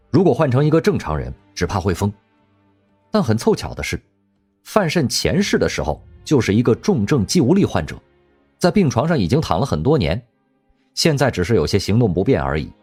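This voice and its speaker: A man narrating an audio drama in a wry, expressive storytelling manner. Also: lowish voice, husky. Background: faint background music.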